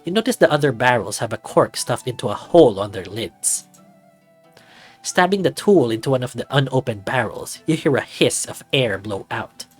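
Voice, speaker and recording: Male host, visual novel narration, casual commentary, middle pitch, faint background music. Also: lively intonation, normal speed, breathy edge.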